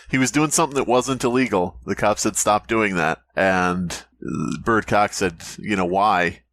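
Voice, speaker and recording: A man with a fairly low voice co-hosts a casual comedy podcast. He banters sarcastically and swears freely as he talks. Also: a bit bright, no room sound. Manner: sarcastic, profane. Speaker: podcast co-host.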